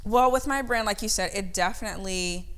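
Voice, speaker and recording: A woman's voice: mid-range voice, fairly quick. Playful young American woman telling stories. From born in Chicago, grew up in Georgia, United States. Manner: animated storytelling, playful, expressive. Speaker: podcast guest, actress and model. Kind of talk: casual chat.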